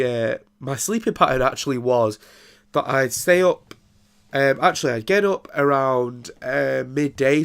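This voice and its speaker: Young podcast host with a blocked, nasal voice and plenty of gravel, chatting loosely at an ordinary pitch. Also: male voice. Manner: rambling, with many ums and restarts. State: congested and nasal from a throat infection. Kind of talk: casual solo podcast chat.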